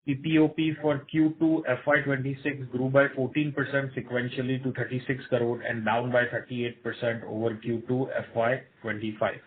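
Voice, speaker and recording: Male executive, Indian accent, measured scripted earnings-call delivery, thin telephone-band sound. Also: mid-range voice, little pitch movement. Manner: formal, measured reading of figures. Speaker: company executive presenting results. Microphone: telephone conference line. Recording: tinny narrow phone line.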